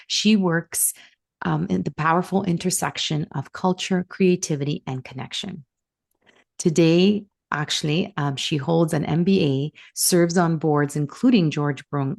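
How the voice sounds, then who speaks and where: A woman's voice: sits low, creaky. A middle-aged woman hosting a podcast, reading a prepared introduction and then asking her guest questions. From Canada. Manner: reads a polished introduction, then asks questions warmly. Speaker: podcast host. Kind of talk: podcast interview.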